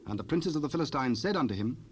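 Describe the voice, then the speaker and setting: A man narrating scripture from a script in a measured, solemn manner. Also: a little high, expressive. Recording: faintly noisy 1970s cassette rip.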